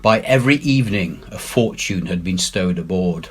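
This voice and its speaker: A man reading a classic adventure story aloud in an expressive, measured way with emphatic phrasing. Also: deep voice, a little hiss or hum. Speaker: head teacher reading aloud.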